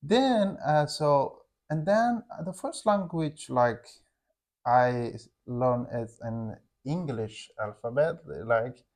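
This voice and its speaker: Halting man chatting casually. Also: medium pitch, expressive, a little mellow. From Afghanistan. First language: Hazaragi. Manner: halting, searching for words, frequent "like". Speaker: English teacher and channel co-host. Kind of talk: casual conversation with his wife for learners.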